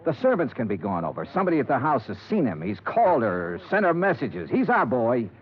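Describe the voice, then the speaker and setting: An actor playing a tough, sardonic insurance investigator in a 1950s radio detective drama, clipped and wisecracking. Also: male voice, medium pitch, wildly swooping pitch, fairly quick. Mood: insistent. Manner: clipped, wisecracking, hard-boiled. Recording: muffled 1950s radio recording with music.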